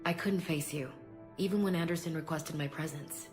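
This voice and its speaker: A low-pitched, tough and candid military woman acted by a woman in game dialogue. She sounds earnest and restrained, with music playing under her words. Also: normal speed, close to an everyday voice. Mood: subdued, vulnerable. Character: female soldier officer, tough, candid, guarded. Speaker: voice actor in a video game. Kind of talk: dramatic video game dialogue.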